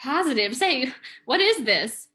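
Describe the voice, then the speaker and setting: A brisk lady companion to a radio detective played by a woman, with a bright, fairly high voice. She is wry and inquisitive, in old-time radio drama style. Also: very animated, full character voice. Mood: puzzled.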